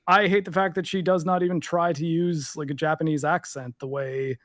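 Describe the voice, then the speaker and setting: A man's voice: on the high side, steady tone, brisk, a bit bright, no room sound. Dry-voiced man ranting about games. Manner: rambling, opinionated, many fillers and restarts. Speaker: podcast co-host. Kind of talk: casual gaming chat.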